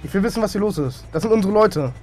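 Man, high voice, headset voice chat, casual roleplay banter, quick shouted directions. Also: lively intonation, faint music behind. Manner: barks quick driving directions, laughs often. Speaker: streamer playing a criminal character.

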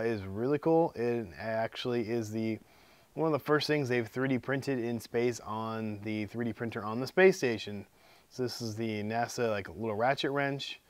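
A man's voice: medium pitch, smooth, no room sound. A man in his thirties with a cold, casually showing off 3D-printed gifts in his home workshop, rambling with lots of ums. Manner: relaxed, rambling, with many ums and uhs. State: getting hoarse from a cold. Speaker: 3D printing YouTuber. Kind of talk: casual show-and-tell.

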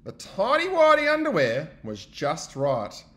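A man giving an expressive, child-directed storybook read-aloud, like a teacher, with chatty asides. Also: on the high side, unhurried.

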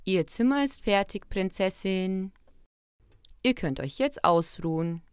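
A woman's voice: medium pitch, animated, slow, clear, resonant tone, dry recording, studio quality, soft background music. A woman voicing a gracious, composed queen and mother in a fairy tale cartoon, speaking formally and with measure.

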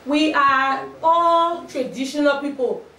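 Heard from across a small, echoey office, a middle-aged Liberian woman answers reporters in a fairly high, firm voice. She sometimes restarts a phrase before she settles on her point. The far-off camera pickup adds plenty of room sound. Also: slow pace, pushed and bright. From Liberia, Nimba County. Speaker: advocacy group spokesperson. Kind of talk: press conference answers. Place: small office with shelves, indoors. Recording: roomy echo from a distant camera.

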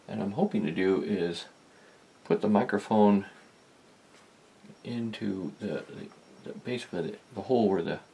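A man giving a casual hands-on demonstration, talking in a halting, rambling, self-deprecating way. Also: lowish voice, gravelly, a little mellow. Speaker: host of a vintage electronics video. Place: indoor electronics workbench.

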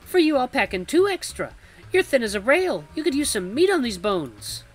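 A man voicing a sweet elderly granny shopkeeper, soft, doting and nosy, in a fussing, motherly game character voice. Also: very high-pitched, big pitch swings, normal speed, soft background music, pitched above the performer's own voice, heavily acted.

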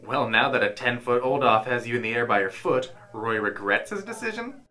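A man's voice: mid-range voice, animated, a little fast, bright, forceful tone. A man playing a sarcastic, worried inner voice that nags, in a comedic audio drama.

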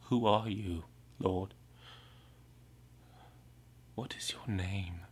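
A man acts out a ragged, mad prophet in a dramatic monologue. The voice sits fairly low and moves very slowly, brooding and anguished. It shifts between hushed, breathy whispering and ringing, theatrical cries. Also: exaggerated voice. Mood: tormented, brooding.

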